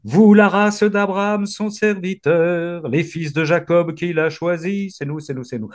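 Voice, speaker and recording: A man's voice: sits high, steady tone, unhurried. A man leading a prayer reading group, reciting psalms fervently and encouraging the others as he goes. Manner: fervent, warm encouraging responses.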